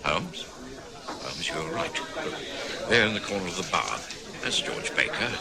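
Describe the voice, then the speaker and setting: Earnest doctor companion; low and lively, with crowd murmur behind. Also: male voice, gravelly, full character voice. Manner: brisk, friendly, earnest. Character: loyal, good-natured doctor companion and narrator. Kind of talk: 1960s radio drama acting and narration.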